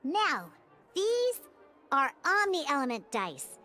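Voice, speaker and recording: A woman playing a small, bubbly, childlike girl companion in a video game, with a squeaky voice and a perky, encouraging way of explaining. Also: huge pitch swings, slow pace, pushed and bright, soft background music, full character voice. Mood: cheerful, instructive. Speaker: game tutorial guide. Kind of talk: animated video game character tutorial dialogue.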